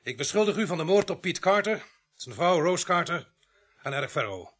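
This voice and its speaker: An actor playing a sardonic, bossy police sergeant in a radio play, brisk and commanding. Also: male voice, fairly high pitch, lively intonation, a bit bright. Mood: stern, official. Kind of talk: radio drama acting.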